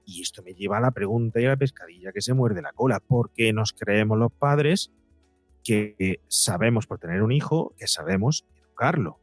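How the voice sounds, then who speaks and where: A man's voice: fairly low pitch, animated, moderate pace, no echo, faint music behind. A middle-aged man with a Spanish accent from Spain, giving emphatic, rambling explanations as an expert guest in an interview. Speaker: guest psychologist and podcaster.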